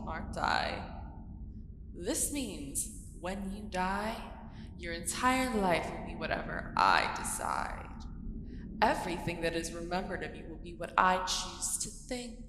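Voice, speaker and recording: Woman voicing a slow, haughty ancient dragoness in cavernous reverb. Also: on the low side. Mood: mocking, cruel. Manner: haughty, deliberate, archaic grand phrasing. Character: ancient, arrogant female dragon guarding treasure, menacing and taunting. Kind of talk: scripted ASMR audio roleplay. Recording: echoing long cavernous reverb with background noise.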